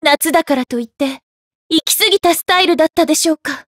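A woman's voice: high voice, quick pace, fairly bright tone, no echo. A woman voicing a cheerful, devout young maiden for an anime mobile game, speaking politely and earnestly. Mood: playful. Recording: studio voice over game music. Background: game music.

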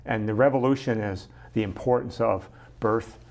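Animated middle-aged man, interview guest. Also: fairly low pitch, moderate pace. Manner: enthusiastic, gestures a lot, frequent ums and restarts. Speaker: science center director, guest. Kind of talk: casual interview chat. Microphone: lapel mic.

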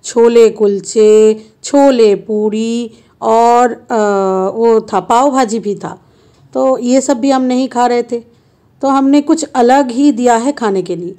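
A woman's voice: fairly high pitch, slow, warm tone. A woman narrating a road-trip travel vlog in a chatty, playful way, filming on a handheld phone from a car and at roadside stops. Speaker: travel vlogger.

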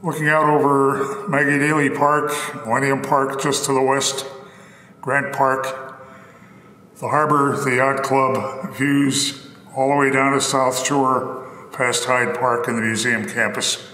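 A man's voice: little pitch movement, takes it slowly, bright, forceful tone, a little hiss or hum. Man touring an echoey empty apartment. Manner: upbeat, descriptive real-estate pitch. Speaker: apartment tour host. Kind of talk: walkthrough tour narration.

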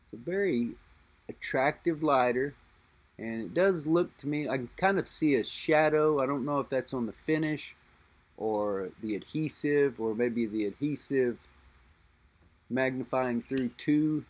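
A middle-aged man talking unscripted about a vintage lighter he holds, musing aloud and pausing to think as he describes it. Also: medium pitch. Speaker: lighter collector and reviewer. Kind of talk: unscripted show-and-tell narration.

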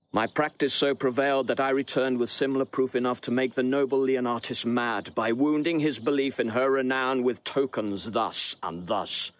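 An actor playing a sly, boastful Italian villain, theatrical and deliberate in a Shakespearean audio drama. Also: male voice, medium pitch, dry recording, close to an everyday voice.

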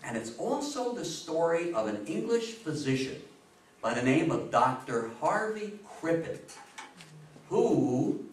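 A man in his fifties giving a history talk to an audience, emphatic and theatrical, with dramatic pauses. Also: on the high side, sing-song, a little hiss or hum. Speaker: lecturer. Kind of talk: animated public history talk to a live audience. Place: meeting room with a seated audience, indoors. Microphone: distant camera microphone. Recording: echoey room, recorded from far off.

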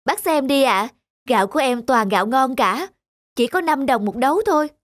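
Cartoon folk tale: brazen, nagging rice-seller wife, high voice, very animated delivery, music underneath. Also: female voice, moderate pace, dry recording, studio quality. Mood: sales patter. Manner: exaggerated, nagging, emphatic. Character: scheming, sharp-tongued village rice-seller wife, brazen and scolding.

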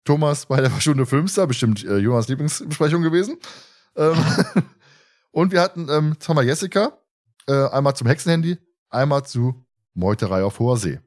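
A man's voice: mid-range voice, expressive, normal speed, darkish tone. A man hosting a casual fan podcast, talking in a rambling way and often repeating words and phrases. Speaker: podcast host.

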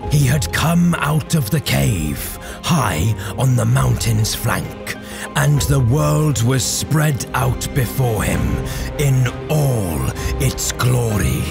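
A man playing a grave, impassioned warrior orator in a theatrical, measured audio drama monologue over music. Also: mid-range voice, lively intonation, creaky, full character voice. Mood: awed. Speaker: voice actor.

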